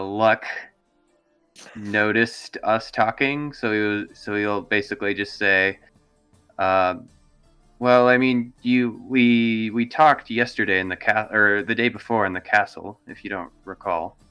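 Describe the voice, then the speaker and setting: A man playing a tabletop roleplaying game, casually describing his character in a halting, hesitant way. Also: fairly low pitch, pushed and bright, clean tone, soft background music.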